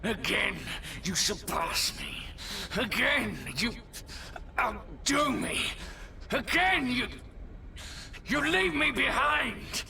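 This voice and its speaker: An actor playing a vain, envious demon commander in a video game, with a mocking, theatrical delivery. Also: male voice, extremely high pitch, animated, creaky, bright, forceful tone, a little hiss or hum, exaggerated voice. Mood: bitter disbelief. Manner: theatrical, mocking, drawn-out phrasing. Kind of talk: video game villain voice acting.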